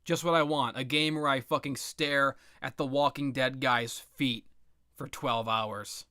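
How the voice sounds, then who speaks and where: A man's voice: medium pitch, fairly even intonation, unhurried, pushed and bright, clean tone, no room sound, clean studio sound. A man giving casual, unscripted opinion commentary on video games in a blunt, sardonic, sweary way. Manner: blunt, sardonic, profane ranting. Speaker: video game commentator, host.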